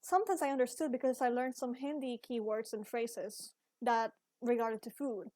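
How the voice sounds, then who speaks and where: Young ecuadorian woman, spanish accent, kinda high voice, earnest student video essay. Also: steady tone, moderate pace, warm tone. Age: young adult. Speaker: university student. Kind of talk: scripted personal video essay.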